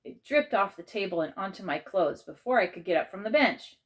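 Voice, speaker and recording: A woman reading a children's chapter book aloud in measured, expressive narration. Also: medium pitch.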